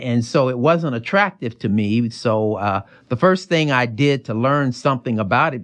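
A middle-aged man from Chicago with an American accent, answering interview questions informally, often halting and restarting. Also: mid-range voice. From Chicago, United States. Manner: informal, halting, self-correcting. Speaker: interview guest, finance executive.

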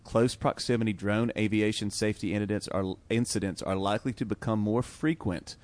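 Easygoing low-voiced male co-host. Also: steady tone, a little fast, darkish tone. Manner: easygoing, jokey. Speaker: radio show co-host. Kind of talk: casual podcast chat.

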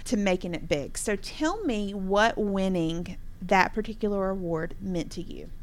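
A woman's voice: medium pitch, expressive, a little slow. A woman hosting a conversational interview with award winners, speaking in an encouraging, affirming way. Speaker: interview host.

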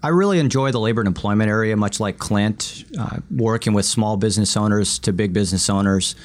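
A man's voice: on the low side, a little fast. A man from Alaska, an attorney guest, answering interview questions modestly with dry humor. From Alaska, USA. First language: Vietnamese.

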